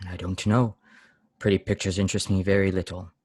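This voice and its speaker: An actor plays a brooding, pious lodger in an old-time radio drama, severe and easily angered. His voice is low and solemn, with deliberate, brusque phrasing, recorded very dry. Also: level tone, darkish tone, no echo. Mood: brooding, reserved.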